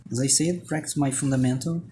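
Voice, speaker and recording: Hesitant Brazilian man with a mellow voice. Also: medium pitch, steady tone, fairly quick, slight background noise. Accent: Brazilian Portuguese. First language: Portuguese. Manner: hesitant, rambling, many restarts and fillers; joking, self-deprecating. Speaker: artist and channel host. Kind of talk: casual voice-over while sketching.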